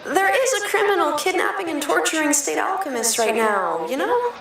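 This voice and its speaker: A woman voicing a gentle, worried young boy from fan fiction, speaking softly and hesitantly over music. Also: extremely high pitch, very animated, moderate pace, creaky, heavy reverb, slight background noise, pitched above the performer's own voice, full character voice. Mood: anxious. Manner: hesitant, anxious, soft-spoken.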